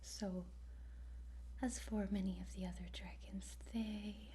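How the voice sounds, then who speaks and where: A woman whispering softly in a halting ASMR roleplay as a gentle, patient guide, close to the microphone. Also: mid-range voice, fairly even intonation. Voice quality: soft breathy whisper. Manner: slow, halting, with pauses. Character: gentle, patient dragon training centre guide. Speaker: ASMR roleplayer playing a dragon trainer. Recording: faint background noise.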